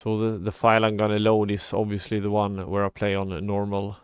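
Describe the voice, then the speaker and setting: A man's voice: on the low side, monotone, fairly quick, clean tone, no echo, studio quality. A man giving casual live game commentary as a streamer, muttering in a mildly exasperated way. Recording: game music behind the voice. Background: video game music.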